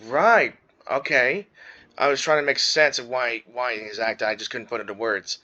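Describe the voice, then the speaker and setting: Male gaming host: bright, forceful tone, mid pitch, casual halting commentary over faint game music. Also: highs cut off. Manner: halting, thinking aloud. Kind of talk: casual let's-play commentary.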